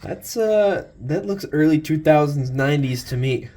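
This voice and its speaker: A man's voice: on the high side, animated, moderate pace, warm tone. A young adult man in a casual chat, reacting in a laid-back, playful way. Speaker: co-host reacting to old gadgets.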